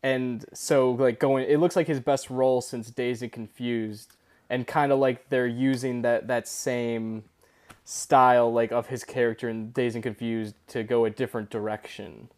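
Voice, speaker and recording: Eager man, mid-pitched. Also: fairly even intonation, moderate pace, darkish tone. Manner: enthusiastic, eager. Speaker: podcast co-host. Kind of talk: casual chat.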